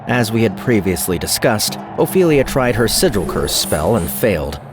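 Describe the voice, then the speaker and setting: A man narrating an action-packed audio drama in a measured, storytelling delivery, with music behind him. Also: lowish voice, sing-song. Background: music score.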